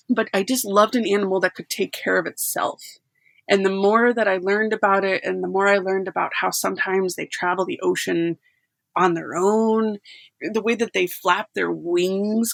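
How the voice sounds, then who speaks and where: A woman's voice: on the low side, creaky, fairly bright tone, no echo. A woman in casual conversation, talking animatedly and breaking into laughter often. She works as a sound effects editor. The recording is close and dry, with no room sound. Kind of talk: casual chat.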